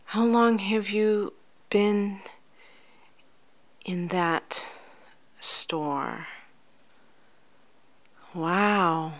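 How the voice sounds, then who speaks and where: Slow, soft-spoken ASMR woman. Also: medium pitch, steady tone. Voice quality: soft, often near-whispered. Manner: gentle, unhurried, soothing, addressing the listener directly. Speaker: ASMR host playing a life coach.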